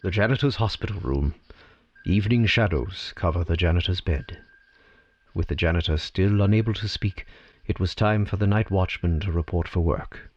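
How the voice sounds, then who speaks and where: A man's voice: low-pitched, moderate pace, lo-fi sound. A man narrating an audio drama as scripted storytelling, with a theatrical delivery. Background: prominent music.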